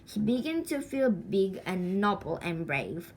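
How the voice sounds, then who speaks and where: Slow, lively woman reading a storybook. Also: medium pitch, low-quality recording. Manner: deliberate, dramatic pauses and stretched words. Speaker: storybook reader. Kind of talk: expressive read-aloud children's story narration. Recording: faint background noise.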